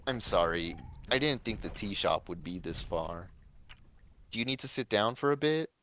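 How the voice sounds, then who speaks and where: Man voicing a gentle, earnest young woman, lightly raised pitch. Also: lively intonation, moderate pace. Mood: apologetic, concerned.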